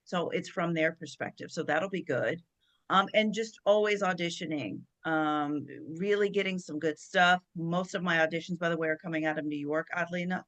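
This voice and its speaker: A woman with a fairly low but bright, forward voice talks casually as a guest actress. She is emphatic and repeats short phrases to stress them. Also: moderate pace. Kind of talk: casual chat. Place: online video call.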